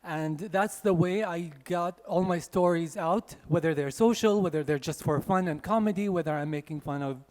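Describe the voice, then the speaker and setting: A man introducing a speaker at an event, talking in a halting way with many restarts. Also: fairly high pitch, a little mellow. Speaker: host. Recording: echoey hall.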